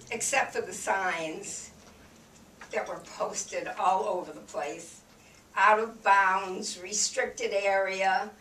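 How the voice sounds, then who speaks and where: Croaky woman in her eighties, slow and wry. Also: medium pitch, background noise. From New Hampshire. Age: 80s. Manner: slow, wry reminiscing with soft laughs and fillers. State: slight cold, croaky. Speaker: veteran guest speaker. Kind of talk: personal war memories told to an audience. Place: room with an audience. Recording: echoey room from far off with clattering dishes.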